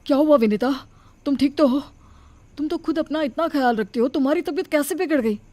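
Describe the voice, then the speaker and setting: A woman voicing a mild, caring young husband who asks questions with gentle concern in a cartoon story. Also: high voice, brisk, no echo, lightly acted. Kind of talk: cartoon moral story voice acting.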